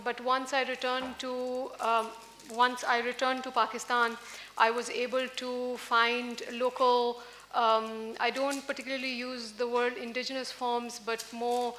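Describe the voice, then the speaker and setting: A woman in her forties, an artist on a festival panel, speaking hesitantly with many pauses into a stand mic. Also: on the high side, fairly bright tone, slight background noise. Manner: hesitant, many ums and uhs. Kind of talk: panel discussion. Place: large indoor festival stage with audience. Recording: roomy hall sound, faint ambience.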